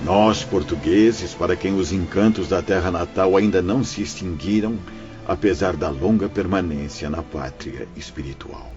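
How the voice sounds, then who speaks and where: A man's voice: low-pitched, moderate pace, darkish tone, close to an everyday voice. A man playing a kindly, earnest spirit missionary recounting his journey in an audio drama, solemn and emotive. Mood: emotional, nostalgic. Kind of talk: audio drama acting, storytelling. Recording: dull audio with background music.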